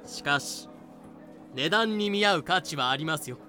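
Businesslike male trader for an audio drama, acted by a man: slightly high, bright voice, showman's polish, music underneath. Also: expressive, normal speed. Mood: showy, selling. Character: slave trader hosting a sale, businesslike salesman. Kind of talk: fan-made Japanese audio drama acting.

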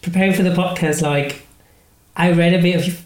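Halting British-accented male podcast host. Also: sits high, steady tone, roomy sound, rough recording. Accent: British English. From Spain. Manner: halting, self-deprecating, full of restarts. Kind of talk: casual podcast interview.

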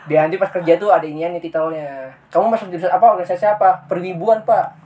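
A man's voice: high voice, steady tone, fast, mellow, recorded from a distance. Young man joking, set back from mic. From Central Java. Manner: joking, swears casually, laughs often. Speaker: podcast co-host. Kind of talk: casual banter.

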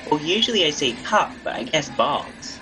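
Deadpan teasing host with lively pitch. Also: moderate pace, background noise. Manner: deadpan, teasing, absurd humour. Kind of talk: improvised comedic interview.

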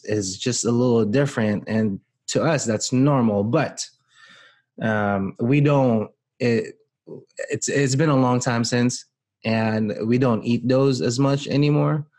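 A man's voice: mid-range voice, slow pace, darkish tone. A man from the Philippines, talking casually on a podcast, rambling with frequent restarts. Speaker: podcast guest. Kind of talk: casual chat.

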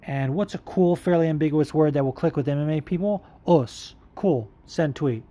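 A man hosts a casual podcast, talking loosely and bluntly with plenty of swearing and filler words. His voice sits a touch higher than most men's. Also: normal speed, dark tone. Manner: candid, profane, rambling with fillers. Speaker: podcast host. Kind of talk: casual MMA commentary, answering listener questions.

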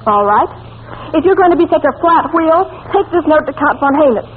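High-voiced imperious princess, vintage radio. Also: female voice, expressive, brisk. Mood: bossy, impatient. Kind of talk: old-time radio comedy acting. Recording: scratchy, narrow-band old radio recording.

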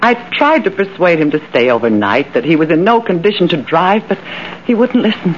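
An actress playing an anxious, evasive murder suspect, halting and melodramatic, in a 1940s radio drama. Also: female voice, fairly low pitch, sing-song. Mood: guarded, anxious. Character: scheming murderess under questioning, anxious, evasive. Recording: muffled, faintly hissy old radio recording.

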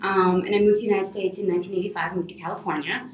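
A woman from Venezuela talks quickly and animatedly as a podcast guest, with plenty of fillers. She sounds far from the mic. The room around her is noticeably echoey. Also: mid-range voice, faint music behind. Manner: animated, chatty, many fillers. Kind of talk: casual interview answers. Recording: roomy, distant remote mic.

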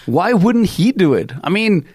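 A middle-aged man from Chile with a Chilean Spanish accent, hosting a talk radio show in an animated, rambling storytelling way. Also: high voice, measured pace, breathy edge, no room sound, studio quality. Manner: animated storyteller, many fillers and restarts. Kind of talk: casual opinionated talk radio chat.

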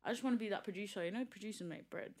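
A young woman from East London with a deep, husky voice and an East London accent, talking frankly as a podcast guest and swearing casually. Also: very fast, darkish tone. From East London, England. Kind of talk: casual chat.

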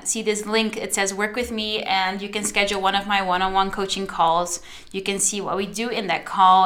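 Bright-voiced chatty woman podcaster. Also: mid-range voice. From Netherlands. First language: Dutch. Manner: chatty, addresses listeners directly, many fillers. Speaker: podcast host and social media coach. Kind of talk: casual solo podcast monologue.

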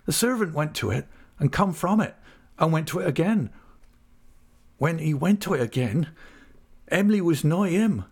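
Young fisherman, played by a male narrator: honest, anguished, pleading, pitched up with a gravelly edge. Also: lively intonation, moderate pace, pauses often, a little mellow. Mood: faltering, anguished. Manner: pleading, faltering. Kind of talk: dramatised audiobook character.

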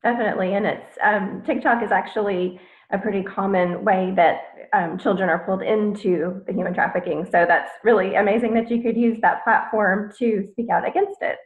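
A woman's voice: mid-range voice, steady tone, a little fast. A woman hosting a podcast interview in a casual way, using many fillers. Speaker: podcast host. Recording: echoey, phone-like lo-fi sound.

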